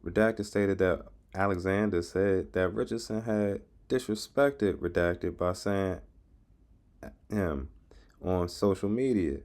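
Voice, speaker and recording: Deep voiced american guy reading out reports on his channel, casual slangy, lots of uhs. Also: takes it slowly, darkish tone. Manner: frequent "uh" hesitations, casual street slang. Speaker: YouTube channel host. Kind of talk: informal commentary and reading documents aloud.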